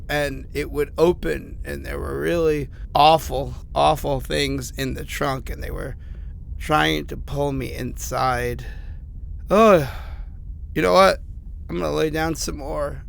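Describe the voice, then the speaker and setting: Man acting a slow, weary, gravelly trapped man. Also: a little high, fairly bright tone, a little hiss or hum, full character voice. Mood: shaken, groggy. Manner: halting, rambling, self-deprecating. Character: battered, weary, sardonic man trapped in a haunted house. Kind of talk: horror audio drama, told as recorded phone messages.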